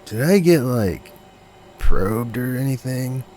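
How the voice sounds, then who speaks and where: A man's voice: mid-range voice, expressive, takes it slowly, dark tone. A man playing a laid-back, dim young stoner who is easily amazed, speaking in slangy slacker style in an audio comedy. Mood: worried. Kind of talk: comedic audio drama acting.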